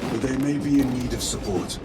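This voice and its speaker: An actor playing a stoic, grave lieutenant of armored warriors in a video game, formal, terse and solemn. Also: male voice, medium pitch, a little fast, very noisy, lightly acted. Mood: resolute, solemn. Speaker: lieutenant of a space marine squad. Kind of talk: cinematic video game voice acting. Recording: loud music behind the voice. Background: loud game score.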